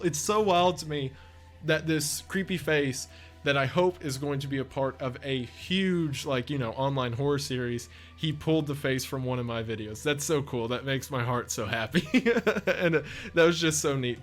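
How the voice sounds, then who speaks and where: A man's voice: mid-range voice, normal speed, a bit bright. A man giving casual off-the-cuff video commentary, chatty, effusive and enthusiastic as a video creator. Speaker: video essay creator and narrator.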